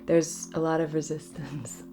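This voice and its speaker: A woman speaking softly as host of a guided spiritual ritual, often slipping into a near-whisper, in a meandering, casual chat. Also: low-pitched, flat delivery, measured pace. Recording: music clearly under the voice. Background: music.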